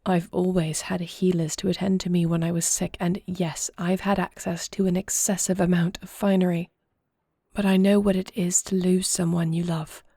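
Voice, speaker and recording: A woman plays an earnest fantasy princess who leads in war. Her voice is low and mellow with a gravelly edge, and she speaks formally and solemnly, as in a scripted audio drama. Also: little pitch movement, no room sound, clean studio sound. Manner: formal, solemn, deliberate phrasing. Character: young adopted princess and war leader, earnest, measured, regal. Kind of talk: scripted audio roleplay acting.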